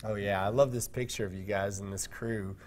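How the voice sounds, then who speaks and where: A man's voice: on the low side, fairly even intonation, a little fast, a little mellow. A man in his thirties hosting a TV interview in a studio, friendly and chatty, laughing easily. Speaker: TV show host.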